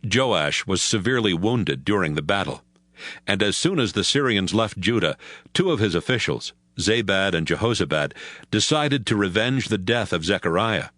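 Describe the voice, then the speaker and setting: Low-voiced, expressive male Bible narrator. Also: normal speed, no echo. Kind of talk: scripted dramatic Bible narration.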